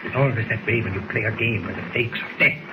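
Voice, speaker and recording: An actor playing a cold, gloating German villain in 1940s radio drama style. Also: male voice, lowish voice, fast talker, lightly acted. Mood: excited, gloating. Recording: noisy muffled 1940s radio recording.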